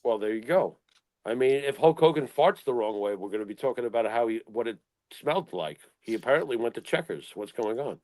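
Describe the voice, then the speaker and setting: A man's voice: medium pitch, animated, brisk, darkish tone, dry recording, low-quality recording. A middle-aged man co-hosting a casual talk show, blunt and exasperated, swearing and firing off rhetorical questions. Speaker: wrestling talk show co-host. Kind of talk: casual opinionated panel chat.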